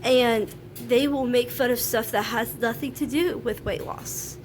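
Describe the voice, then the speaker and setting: A frank, chatty woman with a slightly high voice, nasal and stuffed up from a sinus infection. She talks to camera at home, with a faint hum of household noise behind her. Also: steady tone, unhurried. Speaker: vlogger. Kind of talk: casual talk to camera. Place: at home, laundry running.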